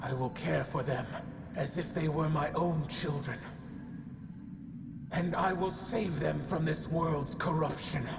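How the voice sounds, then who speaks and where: An actor voicing a solemn, aloof otherworldly guardian in a video game, stately and ominous, with phrases trailing into breathy whispers. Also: male voice, on the high side, fairly even intonation, slow pace. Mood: stern, condemning. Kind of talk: dramatic video game cutscene acting. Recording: long cavernous reverb, loud ambient noise.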